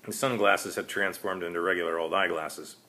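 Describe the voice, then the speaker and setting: A man's voice: low-pitched, fairly even intonation, brisk, sharp tone. A man reading his own short story aloud as narrator, in a wry, conversational manner.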